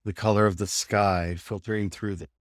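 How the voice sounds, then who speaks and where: A man leading a guided meditation, giving gentle instructions in short phrases with unhurried pauses. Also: on the low side, fairly even intonation, no echo, clean studio sound.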